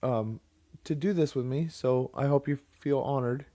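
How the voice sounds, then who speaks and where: A man's voice: medium pitch, normal speed, mellow. Rambling casual male podcast host. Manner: rambling, many fillers and restarts. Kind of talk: casual chat.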